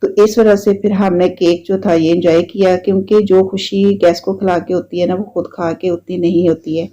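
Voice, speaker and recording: A woman with a Pakistani Urdu accent talks in an easygoing, rambling way, circling back to the same points as she narrates her home vlog. Her voice is mellow and warm, with a slight roughness from a sore throat. The recording sounds dull and lo-fi. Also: fairly low pitch, moderate pace. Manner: chatty, repetitive, relaxed storytelling. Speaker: vlogger. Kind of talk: casual voice-over narration.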